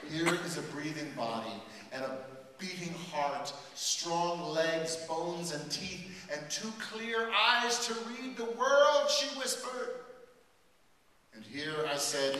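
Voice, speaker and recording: Guy doing a dramatic poetry reading to students, bright voice, faint hum behind. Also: medium pitch. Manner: dramatic, swings between loud emphasis and hushed lines. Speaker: performance poet. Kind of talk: spoken-word poetry reading to a student audience. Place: large school hall with a live audience. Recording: echoey hall recorded from far off.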